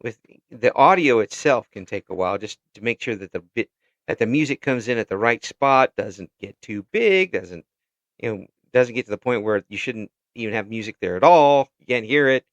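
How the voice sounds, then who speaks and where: A man chatting casually as an interviewer, halting and given to chuckling as he talks. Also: mid-range voice, lively intonation, no room sound, muffled recording.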